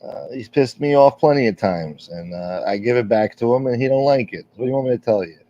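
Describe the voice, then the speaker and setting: A man's voice: mid-range voice, fairly quick, warm tone. A middle-aged man hosting a livestream, chatting casually and reading viewer comments, rambling and candid with frequent fillers and swearing. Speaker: livestream host. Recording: dull, lo-fi stream audio.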